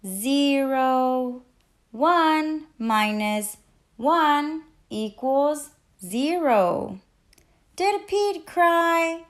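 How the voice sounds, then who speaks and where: A woman's voice: sits high, lively intonation, drawn-out words. A woman reading a children's picture book aloud expressively, putting questions to young listeners. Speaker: teacher and children's read-aloud host.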